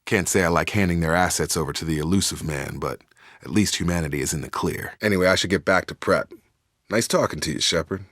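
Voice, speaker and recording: A steadfast space soldier in a game, low voice, earnest and plain spoken, really dry close sound. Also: male voice, fast, a bit bright, dry recording. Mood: earnest, businesslike. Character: steadfast young male space soldier, guarded, duty-minded. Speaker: squadmate in a science fiction game. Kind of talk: video game voice acting, naturalistic dramatic dialogue.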